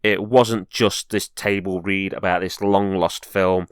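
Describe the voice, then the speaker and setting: A man's voice: low-pitched, fairly even intonation, unhurried, smooth, no echo, studio quality. A man presenting a semi-scripted review as host, speaking in an earnest, enthusiastic way. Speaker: host and reviewer of horror films.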